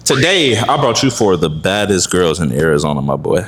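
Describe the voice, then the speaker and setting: A man hosting a blind-date interview, his voice lively and rising and falling a lot. He teases the contestants with quick, playful banter. A faint hiss of noise sits under him. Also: low voice. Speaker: host. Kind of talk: public interview show.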